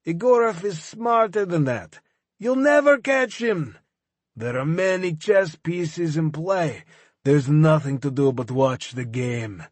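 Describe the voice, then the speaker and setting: A man voicing a taunting, fanatical male arms smuggler, higher and louder than his narration, with slow drawn-out gloating. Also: expressive, dry recording.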